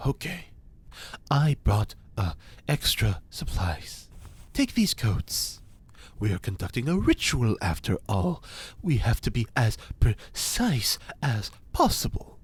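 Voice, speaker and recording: A man voicing an eccentric occult scientist who lectures with grand, theatrical self-importance. His pitch leaps and dives all over the place, and his tone carries a slightly husky edge. It fits an audio fiction drama. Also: very animated, slow, heavily acted. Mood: fussy. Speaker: reporter's informant.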